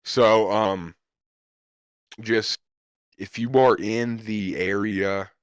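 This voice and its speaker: A slow-talking man with a lowish, hoarse voice, answering viewer questions live on a weather stream. He hesitates often and restarts his sentences. The sound is dry and close. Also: steady tone, no echo. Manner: hesitant, with many ums and restarts. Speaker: livestream weather host.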